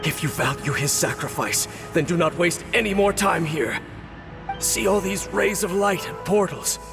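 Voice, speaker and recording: Reserved, grave captain; calm authority, mid pitch, over game score. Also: male voice, gravelly, music in the background. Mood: urgent. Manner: measured, solemn, commanding. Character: grave stoic male swordsman captain, reserved. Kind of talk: video game cutscene voice acting.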